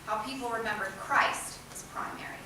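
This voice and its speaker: A woman's voice: a little high, fairly quick. A woman giving a scripted dramatic reading in a readers' theatre group. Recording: echoey hall, distant mic, loud noise.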